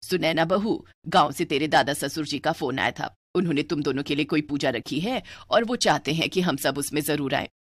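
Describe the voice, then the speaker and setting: Casting: older Indian mother-in-law for cartoon family story, low voice, long-suffering and proper female narrator doubles the role. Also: a little fast, few pauses, dry recording. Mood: matter-of-fact. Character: exasperated older Indian mother-in-law, scolding yet submissive to elders. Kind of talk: animated moral story dialogue.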